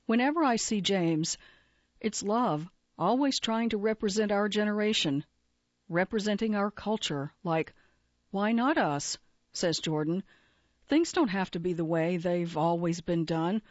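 A woman reads a magazine feature aloud in an even, measured way, at a mid pitch for a woman. The recording is dry, with no room around her voice. The sound is slightly dull and muffled. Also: no echo. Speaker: article narrator. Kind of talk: scripted narration of a magazine feature.

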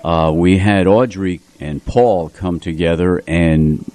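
An older man with a deep voice, recorded dry in a radio studio. He reminisces conversationally as a music show host, phrasing things deliberately with halts. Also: dark tone, no echo.

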